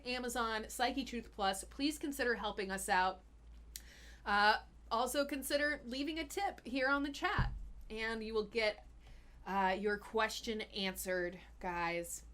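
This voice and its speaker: A woman's voice: medium pitch, fairly bright tone. A young woman hosting a casual live-stream Q&A as a health coach, chatty and giggly with lots of fillers. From Austin, Texas.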